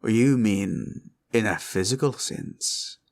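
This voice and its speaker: A man playing a proud, cunning green dragon in a villain roleplay audio, with a taunting drawl and a gravelly growl. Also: on the low side, slow, a little mellow. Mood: amused, scornful. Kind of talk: roleplay ASMR villain monologue.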